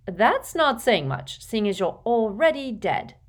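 A woman's voice: on the high side, big pitch swings, unhurried, pitched above the performer's own voice. Storybook teenage lad, played by a woman: cheeky, blunt, casual.

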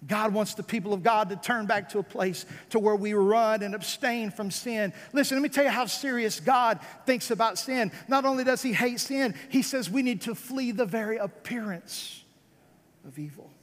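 A man with a high voice and an American accent preaches like a revival pastor in a large, reverberant hall. His delivery is fervent and emphatic, built on repeated phrases and pointed rhetorical questions. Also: normal speed. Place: church service, amplified in a large room.

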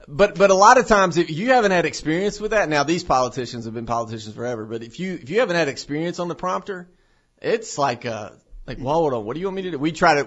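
A man co-hosting a church podcast in casual chat, telling lively stories and acting out quotes. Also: fairly high pitch. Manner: animated storyteller, acts out quotes. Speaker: podcast co-host. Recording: dull lo-fi podcast audio.

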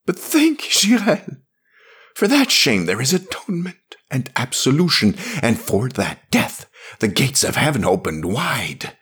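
A man voicing an anxious male priest, pleading urgently in a hushed, breathy manner. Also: medium pitch, big pitch swings, a little slow, pitched above the performer's own voice, exaggerated voice.

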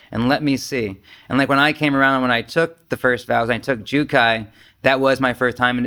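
Bright, forceful man talking candidly. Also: mid-range voice. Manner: self-deprecating, profane, animated with asides and imagined quotes. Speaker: Zen teacher leading a group discussion. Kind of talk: casual, candid group talk.

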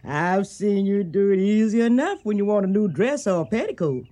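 A woman's voice: mid-range voice, big pitch swings, mellow, natural voice. An actress playing a caring, wise older Southern household servant in a radio drama, with a motherly manner. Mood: gentle, knowing. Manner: unhurried, motherly.

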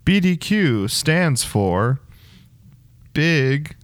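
A man's voice: on the high side, big pitch swings, drawn-out words, dry recording, studio quality. A man co-hosting a casual chat, informal and agreeable, with encouraging remarks for his partner. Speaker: co-host.

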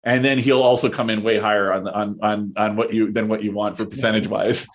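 A man in his thirties, chatting as a podcast guest, talking in a halting way with lots of fillers and restarts. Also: fairly low pitch, steady tone. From Canada. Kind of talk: casual conversation.

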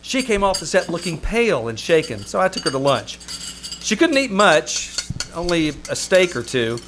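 A man's voice: high-pitched, very animated, normal speed, a bit bright. A man acting in an old-time radio comedy as a wry, sardonic but fond publicity man, with dry, teasing, theatrical delivery and first-person narration. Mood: wry narrating. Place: small-town theater stage, live performance. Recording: live stage recording with background noise.

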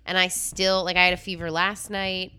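Congested woman with a bright voice. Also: on the low side, flat delivery, normal speed, clear, resonant tone. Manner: frank, profane, chatty. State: congested with the flu. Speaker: podcast co-host. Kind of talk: casual chat.

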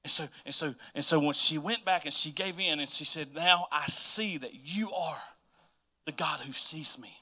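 A man with a Southern US accent preaching an informal, folksy church sermon and reading scripture, talking straight to his listeners and moving between emphatic and hushed delivery. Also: on the high side, moderate pace.

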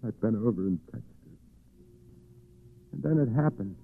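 A man's voice: on the low side, expressive, normal speed, vocal fry, slightly rough, no room sound, exaggerated voice. An actor playing a crazed, vengeful man who spins webs like a spider, brooding and melodramatic in 1940s radio style. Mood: grieving, bitter. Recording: narrow, tinny 1940s radio recording.

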